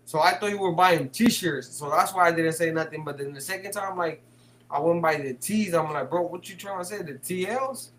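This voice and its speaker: Brief: Bay guy hosting a live stream, slangy, cussing, a bit high-voiced, light hum behind. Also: normal speed, fairly bright tone. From San Francisco Bay Area. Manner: slangy, swears, laughs a lot. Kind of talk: casual live chat reading comments.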